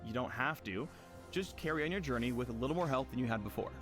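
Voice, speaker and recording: A man narrates a scripted game guide in a mid-range voice. His phrasing is playful and a little wry as he walks through tips like a tutorial narrator. Music plays clearly underneath him. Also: medium pitch, expressive, fast, a bit bright. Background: music behind the voice.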